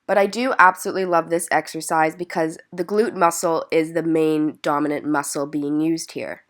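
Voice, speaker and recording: A woman's voice: low voice, fairly even intonation, normal speed. A woman with a slightly stuffy, congested voice from being sick, narrating exercise instructions as a fitness vlogger in an encouraging, explanatory way. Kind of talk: instructional workout voice-over.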